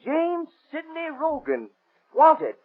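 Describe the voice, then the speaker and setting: An actor playing an excitable, folksy Southern gas station man in a 1930s radio drama. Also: very high-pitched, takes it slowly, exaggerated voice. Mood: excited. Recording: muffled, tinny 1930s radio recording.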